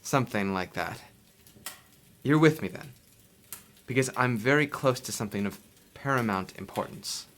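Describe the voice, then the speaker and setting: An actor in a horror radio drama playing a driven, condescending occult scholar with formal, old-fashioned speech. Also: male voice, mid-range voice, lively intonation, moderate pace, pauses often, fairly bright tone, lightly acted. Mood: intent, secretive. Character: Warren, driven, condescending occult scholar obsessed with forbidden knowledge.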